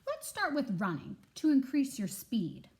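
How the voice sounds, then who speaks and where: A woman's voice: sits high, wildly swooping pitch, unhurried, pitched above the performer's own voice, full character voice. A woman voicing an encouraging, upbeat male goat coach in a children's picture book, with an instructive manner. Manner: bright-spirited, instructive, encouraging.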